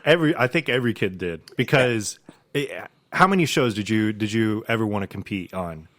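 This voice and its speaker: Low-voiced rambling man of thirty. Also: a little fast, fairly bright tone, dry recording, studio quality. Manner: rambling, repeats words, says "like" a lot. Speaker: guest, sports writer. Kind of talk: casual movie chat.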